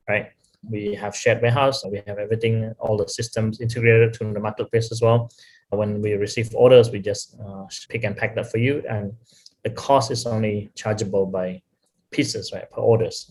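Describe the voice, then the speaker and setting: Explaining man, low voice. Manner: explanatory, often checks in with "right?". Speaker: company executive. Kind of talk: interview answers.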